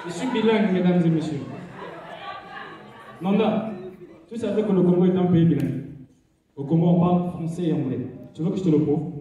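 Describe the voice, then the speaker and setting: Man, stand-up comedian, high voice, mellow tone, distant echoey theater, faint audience. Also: a little fast, pauses often, muffled recording, some chatter behind. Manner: animated, mock-speaking broken English. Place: large theater stage. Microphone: handheld mic, filmed from the audience. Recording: echoey hall from far off, audience.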